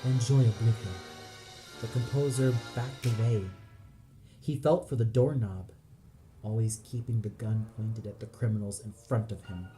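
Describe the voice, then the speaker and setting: A man's voice: lowish voice, steady tone, normal speed, warm tone. A man reading dramatic scripted narration for an audio play. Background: music.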